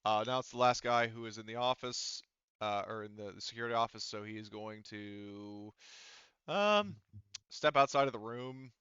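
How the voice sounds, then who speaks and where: Forceful, deep-toned male game master. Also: smooth, no echo, highs cut off. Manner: halting, thinking aloud while adjudicating rules. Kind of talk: running an online tabletop roleplaying game, casual.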